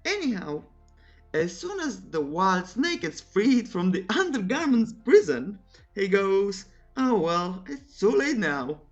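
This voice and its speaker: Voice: medium pitch, big pitch swings, slow pace, muffled recording, soft background music. A narrator reading a scripted comic recap in a playful, teasing, theatrical way, with humorous asides.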